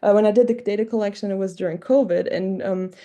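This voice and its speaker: A woman's voice: mid-range voice, fairly even intonation, fast, mellow. A woman from Germany with a German accent, giving an informal academic talk in a reflective, hesitant, self-correcting way. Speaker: multilingual scholar presenting at a book talk.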